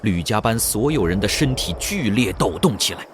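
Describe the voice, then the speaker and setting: A man's voice: on the low side, expressive, moderate pace, a little hiss or hum. A man narrating an audio drama in a suspenseful, dramatic scripted storytelling style.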